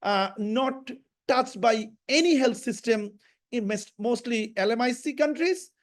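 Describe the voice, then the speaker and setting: Male academic, hesitant and halting, panel guest. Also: extremely high pitch. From Bangladesh. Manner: halting, with frequent restarts and fillers. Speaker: professor and health researcher. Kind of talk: panel discussion.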